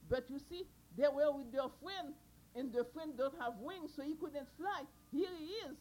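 Woman doing an excitable farmer man. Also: sits high, normal speed, natural voice, low-quality recording. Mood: pleading. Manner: loud exclamations, threatening.

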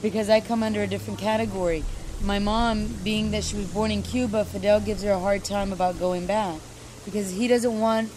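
A woman's voice: mid-range voice, fairly even intonation, brisk, darkish tone. Talkative American woman, noisy vehicle background. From United States. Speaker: interviewee. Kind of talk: casual chat. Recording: noisy location with vehicle sounds.

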